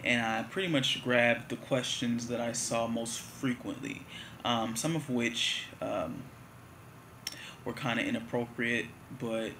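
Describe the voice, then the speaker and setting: A man's voice: mid-range voice, fairly even intonation, slow, sharp tone, a little hiss or hum. A man in his twenties, answering viewer questions in a candid, rambling, joking way. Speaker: vlogger. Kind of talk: casual talk to camera.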